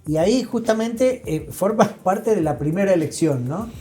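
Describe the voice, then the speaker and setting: Mellow Argentine man explaining, faint music behind. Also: high voice, expressive, normal speed. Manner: didactic, checks with short tag questions. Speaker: interview guest, psychologist. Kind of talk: explanatory conversational interview.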